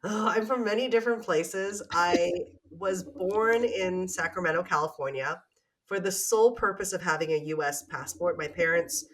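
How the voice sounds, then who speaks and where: A woman's voice: on the low side, fairly bright tone. A woman in her forties from Canada, a guest on a podcast, telling her story openly in casual conversation. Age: 40s. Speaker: podcast guest, real estate investor. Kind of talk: casual interview chat.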